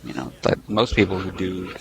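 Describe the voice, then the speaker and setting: A man's voice: sits low, animated, moderate pace, creaky, noisy background. A man on a podcast panel, talking casually and rambling with lots of filler words. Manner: rambling, lots of "like" and "you know".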